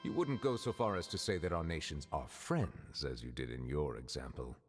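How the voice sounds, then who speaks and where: Deep, formal, dignified judge. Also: male voice, faint music behind. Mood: measured, reserved. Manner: measured, formal, cautious. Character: reserved, formal, dignified male chief judge. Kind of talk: scripted video game acting.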